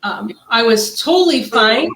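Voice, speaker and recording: A woman's voice: fairly high pitch, animated, a little slow, crowd noise. A middle-aged woman who sounds nasal and stuffed up, co-hosting a casual wellness livestream and talking in a chatty, rambling way with many fillers. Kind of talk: casual chat. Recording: echoey room.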